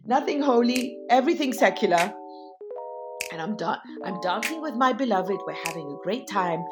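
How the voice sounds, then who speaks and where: Defiant woman over loud music. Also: medium pitch, animated, moderate pace. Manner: emphatic, defiant, swears freely. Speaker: interviewee. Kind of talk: storytelling. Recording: loud music bed under voice.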